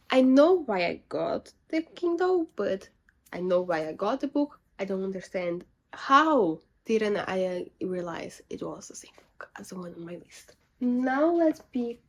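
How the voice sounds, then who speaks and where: A young woman chatting casually about her books in a room lined with bookshelves, rambling and correcting herself as she goes. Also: medium pitch. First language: not French. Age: young adult. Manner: chatty, self-correcting, animated asides. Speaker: book vlogger. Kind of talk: casual chat.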